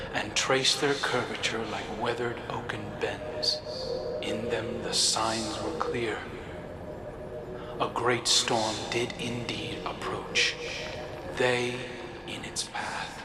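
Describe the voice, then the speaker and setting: An actor playing a brooding, resentful prince in a video game, telling a fable in a measured, breathy, dramatic way with hushed pauses. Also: male voice, lowish voice. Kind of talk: dramatic scripted storytelling. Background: prominent music.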